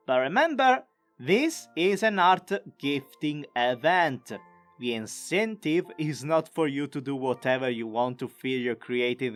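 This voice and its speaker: Animated man narrating over music. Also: medium pitch. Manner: enthusiastic, expressive. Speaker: video creator and amateur voice actor. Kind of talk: scripted recap narration, chatty.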